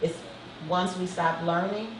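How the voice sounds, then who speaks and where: A woman's voice: fairly low pitch, fairly even intonation, a little slow. A woman hosting a casual talk show, speaking in an encouraging, affirming way. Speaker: host. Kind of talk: casual chat. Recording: echoey room heard from a distance, with background noise.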